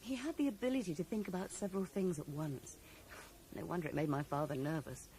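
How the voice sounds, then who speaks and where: A woman's voice: fairly low pitch, fairly quick, dark tone, lightly acted. An actress playing a loyal, defensive young woman recovering in hospital in a 1980s radio drama, pointed and wistful in her delivery. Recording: slightly noisy old radio broadcast recording.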